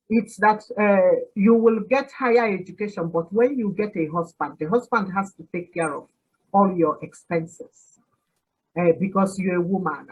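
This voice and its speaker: A woman in her sixties with a Nigerian accent and Igbo as her first language speaks slowly, in an unhurried, measured way. Her voice is husky and she laughs easily between pauses. The audio is dull and lo-fi. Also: medium pitch. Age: 60s. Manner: storytelling, laughs often, many "uh" pauses. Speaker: podcast guest. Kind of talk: reflective interview answer.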